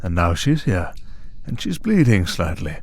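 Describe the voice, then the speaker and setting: A man playing a smug, tempting servant of a dark master in an ASMR audio drama, speaking in a deliberate, taunting, persuasive way. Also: low-pitched, very animated, exaggerated voice. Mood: taunting, seductive. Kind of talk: ASMR audio roleplay acting.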